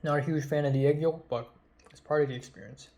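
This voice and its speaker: A young man talks to his camera late at night, his voice sitting slightly higher than most men's. He wanders from thought to thought, stalling on fillers and restarting his sentences. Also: fairly even intonation, quick pace, creaky, a little mellow, a little hiss or hum. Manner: rambling and hesitant, many fillers and restarts. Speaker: college student vlogger. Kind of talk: late-night personal video diary.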